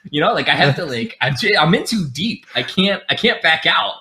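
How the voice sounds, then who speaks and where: Bright-voiced young man telling stories. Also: a little high, very animated, brisk. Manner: rambling, self-deprecating, often restarts sentences. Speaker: podcast guest, streamer. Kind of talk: casual storytelling on a video call. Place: home room with gaming chair. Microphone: headset.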